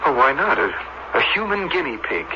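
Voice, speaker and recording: An actor playing a world-weary, devout man offering himself as an experiment subject, in halting, earnest radio drama style. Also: a little high, very animated. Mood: insistent pleading. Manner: halting, earnest, with repeated words and pauses. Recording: dull, noisy old radio drama recording.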